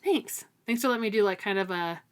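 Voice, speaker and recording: Enthusiastic young woman, medium pitch. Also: animated, fast, smooth. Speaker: podcast co-host. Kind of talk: casual book-club chat with some prepared write-ups read out.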